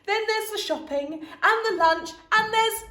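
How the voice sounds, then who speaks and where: A woman's voice: very high-pitched, a little slow, pushed and bright, roomy sound, pitched above the performer's own voice, heavily acted. A woman reading a storybook and voicing a tired, polite mother. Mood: weary. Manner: weary, polite. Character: tired mother needing rest.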